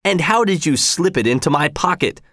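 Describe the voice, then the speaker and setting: Man playing a pompous, animated theater manager. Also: a little high, normal speed, no room sound, clean studio sound, voice pushed up. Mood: puzzled, defensive. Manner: accusing, emphatic. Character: pompous, irritable male opera manager.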